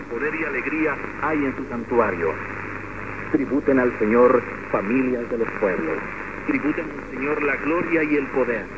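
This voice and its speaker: A man reading a long scripture passage aloud at a church service, measured and formal. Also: medium pitch. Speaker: scripture reader. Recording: muffled with background noise.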